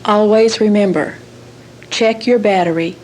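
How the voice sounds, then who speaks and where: A woman's voice: mid-range voice, measured pace, noisy background. A woman interviewing for a training film, giving instructional questions and reminders.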